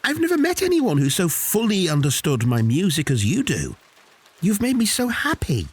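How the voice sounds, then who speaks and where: A man playing an earnest, expressive musician with a husky voice in an old folk tale. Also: high-pitched, moderate pace. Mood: moved, grateful. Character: earnest male musician of ancient China. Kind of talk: acted folk tale dialogue.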